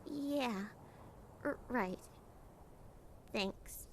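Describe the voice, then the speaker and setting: A woman playing a timid, anxious, nerdy female lizard monster in an audio drama, stammering and halting with nervous giggles. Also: a little high, a little hiss or hum. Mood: nervous, guilty.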